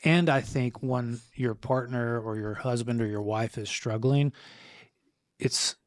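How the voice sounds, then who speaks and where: A man's voice: mid-range voice, steady tone, no echo, clean studio sound. A man co-hosting a casual podcast chat with his partner, speaking hesitantly with frequent restarts. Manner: hesitant, halting, restarts often. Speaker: podcast co-host.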